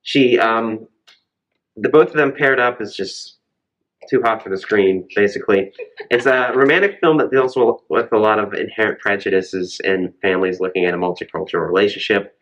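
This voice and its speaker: A man's voice: lowish voice, normal speed, a bit bright. A man co-hosting a casual chat show, giving conversational film recommendations, on a slightly muffled recording. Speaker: co-host.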